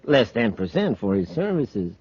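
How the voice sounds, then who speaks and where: A man's voice: on the low side, sing-song, a little mellow, husky, no room sound. A man playing a gloating, mocking space villain in 1950s radio serial style, taunting with false politeness. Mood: businesslike, shifty. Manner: mock-polite taunting, drawn-out farewells. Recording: dull old radio recording.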